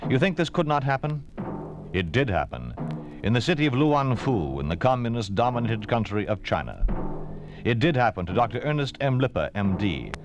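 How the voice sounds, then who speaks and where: A man with an American accent announcing on 1950s radio, reading a script in a grave, formal, emphatic way. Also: on the low side. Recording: vintage 1950s radio broadcast. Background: dramatic orchestral music.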